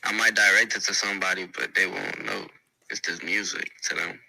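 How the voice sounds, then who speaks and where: A man's voice: sits low, steady tone, a little fast, gravelly, bright, forceful tone, slightly rough, no room sound, low-quality recording. A teenage male rapper from Venezuela answering interview questions in a laid-back, slangy way with mumbled restarts. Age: teens. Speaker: rapper guest.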